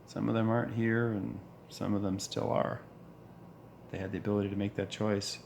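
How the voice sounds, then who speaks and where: A man in his forties with a deep voice, talking earnestly in a reflective monologue, pausing and restarting as he goes. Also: flat delivery, gravelly, a little mellow, slight background noise. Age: 40s. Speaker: vlogger.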